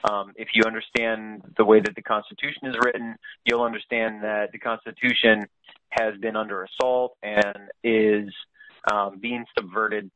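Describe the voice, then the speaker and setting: A man leading a group conference call, explaining things informally in a halting way, often searching for words. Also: fairly low pitch, sharp tone, dry recording, rough recording. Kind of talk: informal explanatory presentation, partly read aloud.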